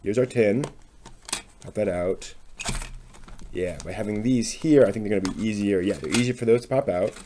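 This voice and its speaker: A man with a fairly low, soft-edged voice talks through a craft project in a casual, unscripted way. He thinks aloud in tentative mutters as he works. There is faint background noise with some clicking. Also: normal speed, long pauses. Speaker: craft vlog host.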